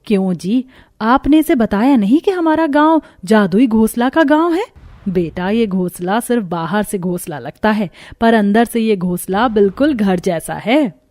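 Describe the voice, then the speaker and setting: Woman acting a motherly village housewife, mellow warm voice, medium pitch, explanatory, cartoon story. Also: animated, measured pace, lightly acted. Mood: motherly, explaining. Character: gentle motherly village wife. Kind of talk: Hindi cartoon story dialogue.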